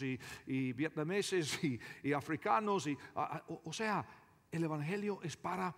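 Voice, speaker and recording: A man preaching a sermon as a pastor, emphatic and deliberate, often repeating his phrases. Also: fairly high pitch, fairly bright tone. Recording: reverberant hall with long echo.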